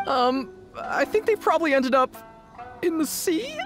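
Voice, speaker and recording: A woman's voice: high voice, expressive, measured pace. A woman voicing a timid, boastful young boy in a video game, flustered and stammering. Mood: frightened. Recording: clear music behind the voice.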